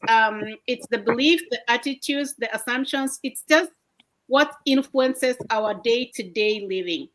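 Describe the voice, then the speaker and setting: Bright-voiced Kenyan-born woman host. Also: a little high, unhurried, slight background noise, low-quality recording. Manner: upbeat, welcoming, hands over between guests. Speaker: livestream host. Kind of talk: motivational livestream talk. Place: home, indoors at night.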